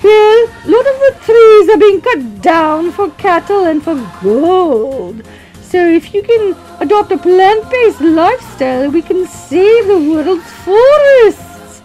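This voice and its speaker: A woman voicing a grand, theatrical female bird singing instructor in a puppet show, with a drawn-out, flamboyant, doting delivery. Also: very high-pitched, sing-song, darkish tone, faint music behind, heavily acted, rough recording. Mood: earnest.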